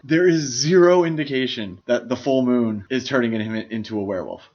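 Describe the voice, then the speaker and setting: A man speaks as a podcast co-host in casual film discussion. His delivery is enthusiastic and explanatory, with a mid-pitched voice. The recording is slightly dull and lo-fi.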